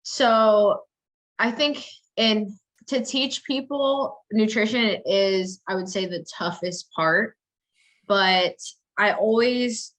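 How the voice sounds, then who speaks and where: A woman's voice: medium pitch, slow pace, a bit bright. A woman speaking as a fitness coach in a casual interview, explaining things step by step with frequent check-ins. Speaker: fitness coach and business owner, interview guest.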